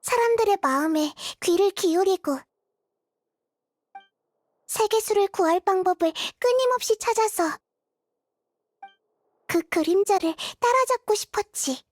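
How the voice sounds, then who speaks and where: A woman voicing a small, gentle child goddess in a game, soft-spoken, thoughtful and wistful, with measured phrasing. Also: very high voice, animated, no room sound. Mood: wistful, resolute. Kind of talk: Korean game voice acting, introspective monologue.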